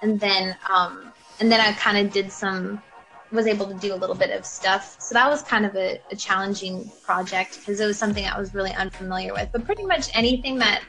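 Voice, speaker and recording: A woman's voice: medium pitch, a little fast, vocal fry, bright, forceful tone, soft background music, low-quality recording. A young woman voice actor chatting casually in an interview, giggling often and filling her talk with likes and ums. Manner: chatty, giggly, many fillers. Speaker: voice actor guest.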